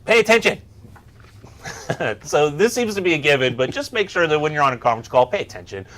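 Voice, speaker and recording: A man's voice: a little high, very animated, normal speed, fairly bright tone. A man co-hosting a workplace tech show, giving semi-scripted tips in a casual, instructional way with some bantering asides.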